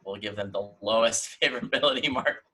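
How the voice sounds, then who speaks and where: An American man in his twenties hosts a casual discussion show. His voice sits a little low but comes across bright and forward, as on a video call from home. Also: fairly quick, slightly rough. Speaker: host.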